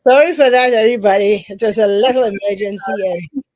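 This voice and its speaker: A woman leading a casual live interview, hesitant with fillers and quick to affirm. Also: medium pitch, heavy background noise.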